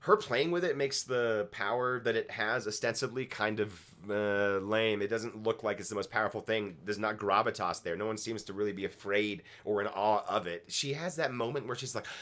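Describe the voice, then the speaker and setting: A man's voice: lowish voice, normal speed, hardly any pauses, fairly bright tone. A man casually giving opinionated commentary as co-host of a fan review show, emphatic and swearing freely. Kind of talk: casual opinionated episode discussion.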